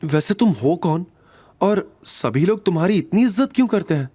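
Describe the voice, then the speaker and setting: A man voicing an anxious, earnest and innocent young woman in an audio drama, speaking softly. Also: sits high, expressive, normal speed, husky, pitched above the performer's own voice. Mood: curious. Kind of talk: Hindi audio drama dialogue.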